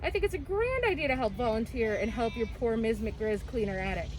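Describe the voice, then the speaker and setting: A woman's voice: fairly high pitch, animated, fairly quick, close to an everyday voice. A woman voicing a proud, earnest father bear from a storybook, approving and firm in manner.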